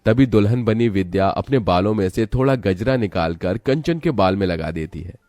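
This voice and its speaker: A man's voice: lowish voice, expressive, normal speed, a little mellow, no echo. A man narrating a scripted, dramatic story as a storyteller.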